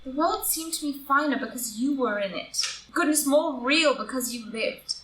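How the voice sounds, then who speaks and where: Actress as wronged society wife, bright and piercing, declamatory audio drama, picked up off-mic in a live room. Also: female voice, high-pitched, normal speed. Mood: anguished reproach. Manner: declamatory, impassioned. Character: wronged, idealistic English society wife, bitterly heartbroken. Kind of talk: stage-style audio drama acting. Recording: roomy, distant live reading with noise.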